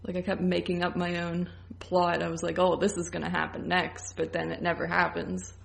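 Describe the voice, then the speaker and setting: A woman's voice: on the low side, completely flat delivery, brisk, vocal fry. A woman co-hosting a podcast, chatting informally about a film and chiming in with agreement, often giggly. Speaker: podcast co-host. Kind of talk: casual film review chat.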